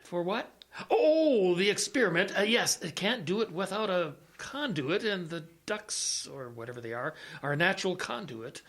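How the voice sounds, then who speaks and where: A man's voice: high voice, very animated, normal speed, fairly bright tone, heavily acted. A man playing a blind military scientist in an audio drama, wry and in charge, muttering hesitantly and then giving orders. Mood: weary, wry. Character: blind older major and tinkering scientist, wry, authoritative.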